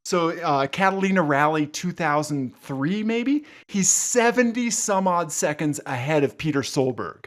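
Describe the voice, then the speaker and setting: Excitable man whose voice jumps high. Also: unhurried, hardly any pauses. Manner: swears freely, rambling car enthusiast. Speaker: podcast guest. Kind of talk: casual chat.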